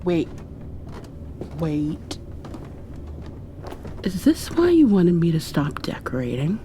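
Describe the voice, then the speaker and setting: A woman doing an ASMR roleplay, drifting between whispers and soft speech in an affectionate, playfully scolding way. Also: on the low side, huge pitch swings, takes it slowly, slight background noise.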